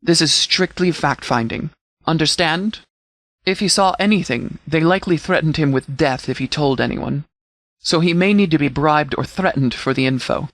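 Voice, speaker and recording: A man playing a stern, formal sheriff in a video game, giving orders in a measured, authoritative way. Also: on the high side, fairly bright tone, dry recording. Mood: businesslike, grave. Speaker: sheriff and commander. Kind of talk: scripted game dialogue, mission briefing.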